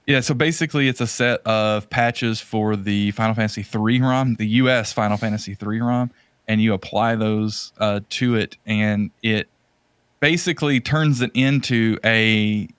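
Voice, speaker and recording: A man's voice: on the low side, normal speed, a bit bright, clear, resonant tone, no room sound. A man co-hosting a podcast in a casual, opinionated and rambling conversational style. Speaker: podcast co-host. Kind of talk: casual chat about video games.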